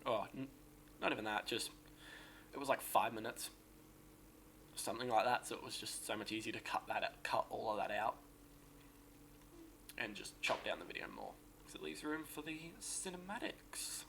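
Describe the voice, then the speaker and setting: A man with a sore, hoarse voice talks through his game build in a loose, rambling way and often stops to correct himself. His gravelly rasp sits at a middle pitch. A faint hiss runs underneath. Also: lively intonation, moderate pace, long pauses. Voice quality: raspy, gravelly. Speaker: game streamer. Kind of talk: casual gameplay commentary.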